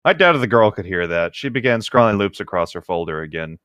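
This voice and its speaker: Male co-host, low register, relaxed and slightly halting casual reader with chatty asides, very dry recording. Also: no room sound. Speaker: co-host of a humorous read-aloud commentary.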